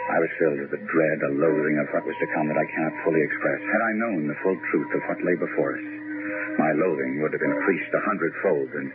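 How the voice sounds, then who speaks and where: Very deep, sombre gothic gentleman narrator. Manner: grave, measured, literary. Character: sombre gentleman narrator, loyal, resolute friend of the family. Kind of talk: gothic radio drama narration and dialogue. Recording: dull, noisy old radio broadcast.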